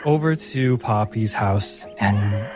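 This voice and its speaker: A man narrating a fantasy tabletop game as game master, with deliberate, descriptive storytelling. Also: on the low side. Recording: music under the voice. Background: music.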